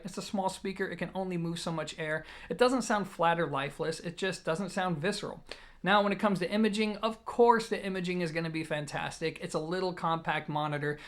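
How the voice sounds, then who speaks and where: High-pitched man reviewing audio gear. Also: fairly bright tone. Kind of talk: product review to camera, conversational commentary.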